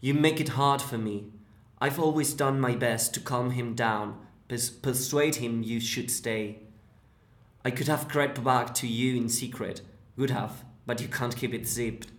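Spanish-accented male reading an exasperated, lecturing husband; slow and reproachful, echoey room. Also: mid-range voice, close to an everyday voice. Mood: scolding. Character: Jason, an exasperated husband scolding his wife. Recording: roomy echo.

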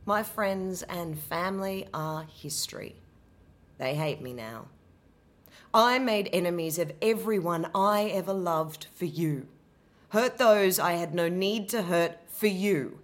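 A woman in her thirties playing a betrayed, bitter queen in a dramatic monologue, sarcastic and swinging from low muttering to loud outbursts. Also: slow. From Australia. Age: 30s. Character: betrayed furious queen, bitter abandoned wife. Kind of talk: dramatic monologue, audition piece.

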